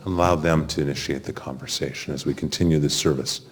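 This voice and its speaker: A man speaking as a rabbi leading a funeral service, gently consoling and conversational as he gives a homily and prayers. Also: a bass voice, fairly even intonation, quick pace. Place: funeral chapel.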